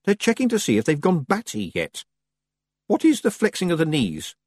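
A man's voice: fairly high pitch, wildly swooping pitch, normal speed, a little mellow, husky, no echo, studio quality, voice pushed up, full character voice. A man voicing a stern, bossy and inquisitive young female witch in an audiobook. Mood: curious, matter-of-fact. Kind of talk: audiobook character voice.

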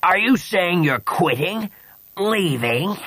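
A man voicing a pompous, blustering male alien overlord in an audiobook, with a husky voice. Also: mid-range voice, animated, moderate pace, a bit bright, dry recording, clean studio sound, pitched above the performer's own voice. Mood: outraged, befuddled. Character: pompous, indignant male Hutt overlord, slow alien slug.

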